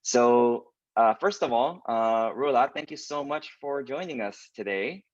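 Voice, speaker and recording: A pastor hosting a church webinar over a video call, talking casually with lots of fillers and hesitations. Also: male voice, medium pitch, a little slow, no echo. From United States. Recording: dry, dull lo-fi video call audio.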